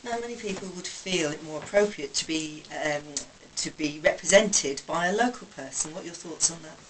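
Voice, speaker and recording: A woman's voice: lowish voice, fairly quick, far from the mic, low-quality recording. A woman radio interviewer putting questions to a guest, recorded a little away from the microphone. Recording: off-mic, faint background noise.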